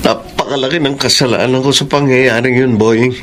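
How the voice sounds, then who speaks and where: A man's voice: medium pitch, steady tone, moderate pace, a crowd in the background, lightly acted. A man playing an anguished, remorseful husband and father in a radio drama, earnest and melodramatic.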